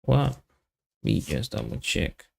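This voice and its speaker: A man on a casual coding livestream, thinking aloud in halting, muttered fragments as he works. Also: low-pitched, wildly swooping pitch, gravelly, husky. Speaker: developer live-streaming coding.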